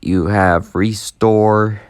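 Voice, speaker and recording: A man giving a casual, unscripted tech demo in a halting, repetitive way that tends to trail off. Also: lowish voice, steady tone, clean tone. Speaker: video host demonstrating a walkie-talkie app.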